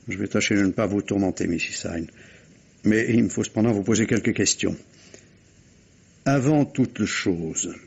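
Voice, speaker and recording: A polite police inspector, probes gently and apologetically in vintage radio drama style. His voice is fairly low and rough-edged, slightly husky. The sound is dull and narrow. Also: heavily acted. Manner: courteous, hesitant, insistent questioning. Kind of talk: 1950s French radio mystery drama acting. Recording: dull, band-limited old radio recording.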